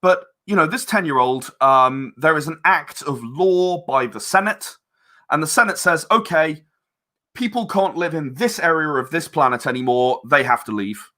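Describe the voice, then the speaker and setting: A man talks as a guest in an interview about his own creative work. His voice sits a little high and has a bright, forward edge. He explains in an effusive, emphatic, wandering way. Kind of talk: enthusiastic interview talk about own project.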